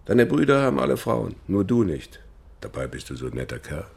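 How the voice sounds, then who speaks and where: An actor playing a nagging but affectionate old father in a radio drama, grumbling in a gravelly, husky voice. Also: lowish voice, big pitch swings, brisk, a little mellow, full character voice. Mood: fond, grumbling. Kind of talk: German radio drama acting.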